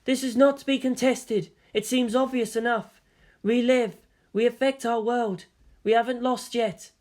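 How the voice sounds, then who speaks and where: A woman's voice: fairly high pitch, steady tone, a little slow, darkish tone, voice pushed up, close to an everyday voice. A woman reading the lines of a defiant young warrior woman who speaks firmly and curtly.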